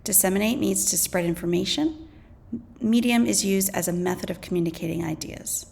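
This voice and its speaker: A woman teacher reading a scripted lesson aloud, deliberately and clearly enunciated, pausing between items. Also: mid-range voice, a little mellow. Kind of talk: scripted educational read-aloud.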